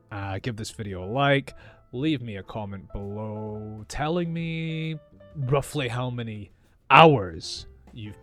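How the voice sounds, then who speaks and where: A man with a mild Scottish accent, teaching English in a calm, careful, deliberate monologue. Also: fairly low pitch. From northeast Scotland. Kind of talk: slow, clear teaching monologue.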